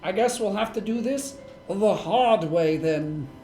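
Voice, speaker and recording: A woman playing a wry, weary male private detective in a noir parody, speaking in a dry deadpan. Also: mid-range voice, a little slow, natural voice. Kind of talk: noir parody dialogue and narration. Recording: roomy echo, faint music underneath.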